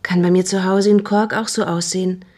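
An actress playing a frightened young housemaid in a radio drama, giving breathless, halting eyewitness testimony in colloquial speech. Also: female voice, fairly low pitch, steady tone, a little mellow, lightly acted. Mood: uneasy, hesitant. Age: young adult.

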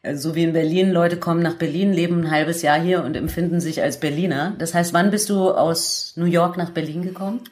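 A woman's voice: lowish voice, fairly even intonation, fairly quick, few pauses, darkish tone. A woman hosting a radio show in a conversational interview style.

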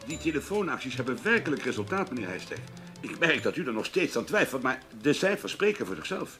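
An anxious subordinate editor in a radio play. He is polite and eager to persuade, speaking rapidly with a sharp, tense tone and lively pitch. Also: faint music behind. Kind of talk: Dutch radio drama acting.

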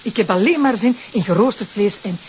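A woman's voice: mid-range voice, animated, brisk, muffled recording, background noise, lightly acted. An actress playing a playful, affectionate pregnant woman with a Flemish accent. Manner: playful, teasing.

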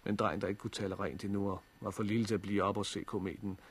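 An actor playing a practical, protective survivor of a catastrophe in a 1960s Danish radio drama, speaking in a measured, reassuring way. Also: male voice, lowish voice, level tone, slightly rough. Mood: matter-of-fact. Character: pragmatic, protective male survivor of a global catastrophe.

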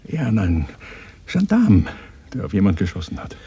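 An actor in a radio drama playing a bitter, probing former resistance fighter with a sardonic, interrogating manner. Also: male voice, lowish voice, wildly swooping pitch, fairly quick, mellow, husky, full character voice. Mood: heated, impatient. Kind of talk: 1980s German radio drama dialogue.

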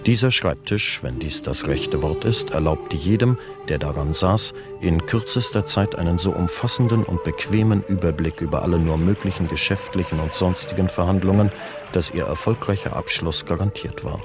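A man's voice: low-pitched, normal speed, music in the background, lightly acted. A man narrating a radio play in a formal, matter-of-fact manner.

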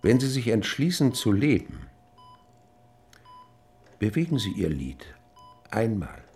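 A grave radio-drama doctor with a low, gravelly voice. He speaks solemnly and deliberately, weighing his words, yet his intonation moves widely. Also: very animated, darkish tone, exaggerated voice. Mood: grave, insistent. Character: grave, conscientious male hospital doctor. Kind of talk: German radio drama acting.

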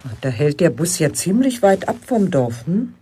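A woman's voice: fairly low pitch, big pitch swings, moderate pace, mellow, slightly rough, exaggerated voice. An actress playing a polite, mildly curious woman visiting from outside, speaking in an understated, musing way in a radio play. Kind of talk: German radio play dialogue.

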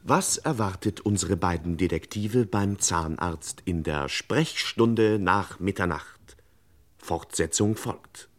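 An actor playing a wry narrator of an old radio crime serial, mock-solemn over long ornate sentences. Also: male voice, sits low, slow pace.

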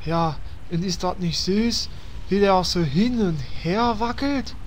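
Man voicing a lovestruck guildmate, high, slow, gushing, faint hiss behind. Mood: smitten. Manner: dreamy, gushing. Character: lovestruck naive young male guild member. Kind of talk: amateur audio drama parody.

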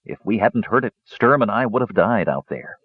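Man doing kind half-elf, gentle, steady, low and husky voice, dull dry sound. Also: moderate pace, no room sound.

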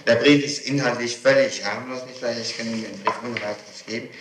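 Brisk formal man, echoey distant tape. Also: medium pitch, steady tone, noisy background. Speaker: prosecutor conducting questioning. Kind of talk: formal interrogation conversation. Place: echoey room, recorded from far off.

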